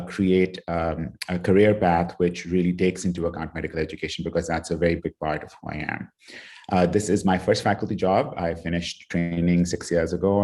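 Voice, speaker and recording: A man from Pakistan, a pathologist, giving a relaxed online talk over a video call, with many "you know" and "kind of" fillers. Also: sits low. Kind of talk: online lecture with slides.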